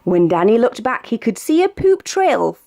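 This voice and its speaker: A woman with a British accent reads a rhyming children's picture book aloud in an expressive, sing-song storytelling way. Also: fairly high pitch, normal speed, vocal fry. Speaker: storybook reader.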